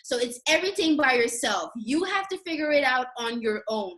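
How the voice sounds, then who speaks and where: A youngish woman speaks in a fairly high voice. She chats casually and openly, like a guest swapping experiences with a friend. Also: lively intonation, a little fast, a bit bright. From Curacao, in the Caribbean. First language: not Dutch, a language of Curacao. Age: 20s-30s. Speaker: guest sharing career experiences. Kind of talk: casual conversational discussion.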